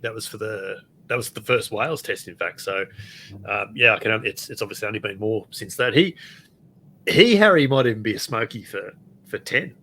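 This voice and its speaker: A man's voice: fairly low pitch, expressive, moderate pace, pushed and bright, slight background noise. A man hosting a rugby podcast in casual chat, steering the talk and asking follow-up questions. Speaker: podcast host.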